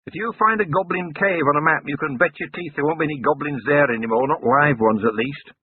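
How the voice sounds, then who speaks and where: A man's voice: on the high side, fairly quick, husky, no room sound, pitched above the performer's own voice. Skinny young goblin, offhand slang, slightly raised voice, male reader doing him; tinny lo-fi audio. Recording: tinny phone-like sound.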